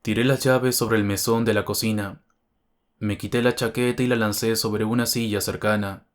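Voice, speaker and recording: Man, horror story narrator, low voice, scripted first-person reading. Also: steady tone, normal speed.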